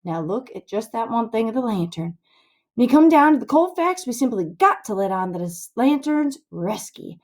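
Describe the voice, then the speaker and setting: A bossy young schemer from a storybook, acted out by a woman, lecturing in rustic dialect. The voice is on the high side and swoops up and down as he grandly scorns anyone more practical. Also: big pitch swings, normal speed, darkish tone. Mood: scornful, bossy.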